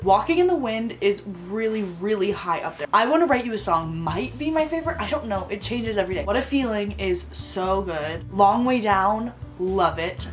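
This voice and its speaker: A young woman talks to camera from a bedroom lined with bookshelves, gushing in an excitable fangirl way. Her voice has a slight roughness from a coming cold and sits at an ordinary pitch. Faint music plays underneath. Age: young adult. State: voice a bit rough from getting sick. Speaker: book vlogger. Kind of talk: casual Q&A vlog.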